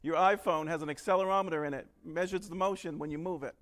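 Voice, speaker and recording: A man's voice: on the high side, fairly even intonation, fairly bright tone. Man, from northern Alabama, jokey presenter, chatty, conference hall. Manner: joking, quick and chatty. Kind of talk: technical conference talk. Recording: long hall reverb.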